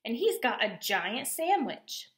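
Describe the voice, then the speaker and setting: A woman's voice: a little high, big pitch swings, slow, echoey room. A woman voicing an earnest, polite, bookish young girl who explains things matter-of-factly in a storybook. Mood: earnest, helpful.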